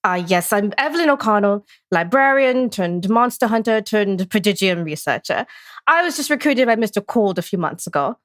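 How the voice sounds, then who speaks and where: A woman's voice: mid-range voice, expressive, moderate pace, dry recording, studio quality, natural voice. A woman playing a polite, bookish librarian turned monster hunter in an audio drama, with a courteous, proper manner. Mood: curious, polite.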